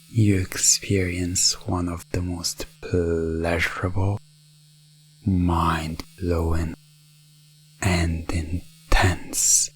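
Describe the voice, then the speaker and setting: A deep-voiced man speaks extremely slowly in a hypnosis ASMR style. His delivery is breathy and hushed, often slipping into whispers. He stays measured and commanding, in the role of a professional dominant. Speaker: audio roleplay performer playing a professional dominant. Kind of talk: hypnosis ASMR roleplay, slow guided monologue.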